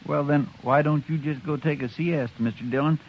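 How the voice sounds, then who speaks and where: An earnest young deputy, played by a man in a 1950s radio play. He talks in a homespun, deferential way in a fairly high voice. The broadcast is dull with faint hiss. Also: fairly even intonation, a little fast, close to an everyday voice. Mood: homespun, eager. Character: earnest, eager-to-please young male deputy. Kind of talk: 1950s radio drama acting.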